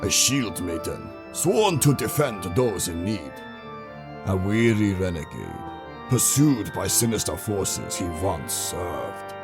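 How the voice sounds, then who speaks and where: A man acts a solemn storyteller narrating a dark fantasy tale. His very deep voice is slow and theatrical, with dramatic rises and falls over clear music. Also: sing-song, full character voice. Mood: ominous, theatrical.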